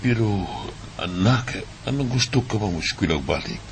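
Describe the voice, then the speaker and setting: A man's voice: lowish voice, lively intonation, normal speed, slight background noise. Man playing a weary, hardworking poor parent. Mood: concerned. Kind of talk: homemade radio drama acting.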